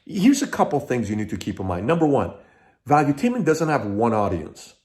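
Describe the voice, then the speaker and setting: Man from Iran, middle-aged, channel host, emphatic, talks in lists, restarts sentences, mid-pitched voice. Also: huge pitch swings, brisk, a little mellow. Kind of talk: direct-to-camera commentary.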